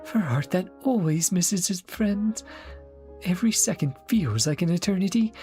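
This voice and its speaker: A man's voice: high-pitched, expressive, moderate pace, dark tone, faint music behind, pitched above the performer's own voice. A man voicing a playful, poetic bard from a game, speaking theatrically and affectionately to an old friend. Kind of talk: reading game dialogue in character.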